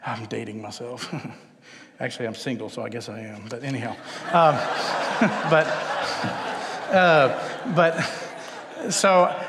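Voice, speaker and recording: A man's voice: medium pitch, slow pace, long pauses, heavy background noise. Texan man speaking in an echoey hall. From Texas, United States. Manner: casual, self-deprecating, conversational. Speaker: guest speaker at a chapel, filmmaker. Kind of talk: informal chapel talk, personal testimony. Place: large indoor chapel, live talk. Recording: echoey hall with long reverb.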